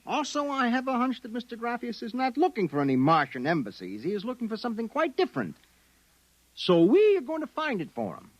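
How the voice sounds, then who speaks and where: An actor with an American accent playing a brusque, wary private investigator in 1950s radio drama style, giving orders in slangy, commanding speech. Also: male voice, extremely high pitch, wildly swooping pitch, brisk, full character voice. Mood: bossy, impatient.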